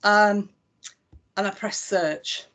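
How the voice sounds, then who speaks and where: A woman with a Lancashire accent leads a live walkthrough of a website. She talks through each click in a relaxed, conversational way with plenty of ums. Her voice sits at an ordinary middle pitch. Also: very slow. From Northwest England. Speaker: chief executive demonstrating a website. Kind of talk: live software demonstration.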